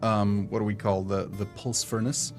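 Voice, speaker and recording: A man, not a native English speaker, casually showing off a game on a livestream, full of pauses and fillers. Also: lowish voice, little pitch movement, music in the background. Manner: halting, filler-heavy, playful. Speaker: game developer showcasing a game. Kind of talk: casual livestream gameplay chat.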